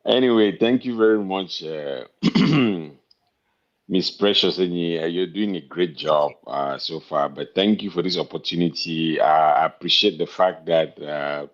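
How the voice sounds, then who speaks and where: A man in his fifties with a Cameroonian accent, talking politely and haltingly as an interview guest. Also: deep voice. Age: 50s. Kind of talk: casual interview talk. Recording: dull, lo-fi internet call audio.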